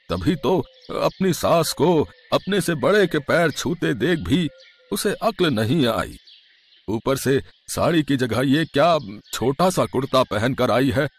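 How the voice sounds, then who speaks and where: Casting: old village grandfather for cartoon moral tale, stern, emphatic, very animated intonation male actor. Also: mid-range voice, slightly rough, heavily acted. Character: stern, old-fashioned village patriarch, elderly grandfather-in-law. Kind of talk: animated moral story dialogue.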